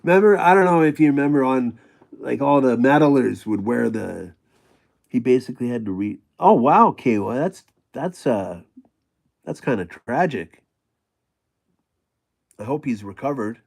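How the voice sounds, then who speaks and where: A middle-aged man with a gravelly voice, hosting a casual livestream and chatting with viewers in a rambling way full of fillers and restarts. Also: medium pitch, animated, moderate pace, pauses often, darkish tone. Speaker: livestream host reading chat.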